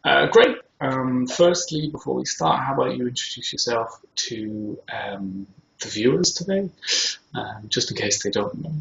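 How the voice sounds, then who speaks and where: A man from Scotland with a British accent, talking casually and haltingly as the host of a video show, recorded in his kitchen. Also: mid-range voice, expressive, vocal fry, fairly bright tone. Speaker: host interviewing himself. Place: home kitchen. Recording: roomy, echoey, dull sound with faint noise.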